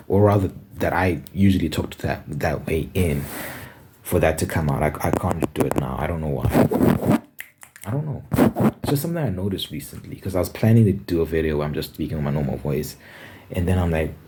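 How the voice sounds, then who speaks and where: Deep mellow guy from zimbabwe just chatting in his bedroom, trails off a lot, faint hiss behind. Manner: rambling, self-correcting, thinking aloud. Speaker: ASMR channel host. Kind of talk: casual talking-to-camera vlog. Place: bedroom with window.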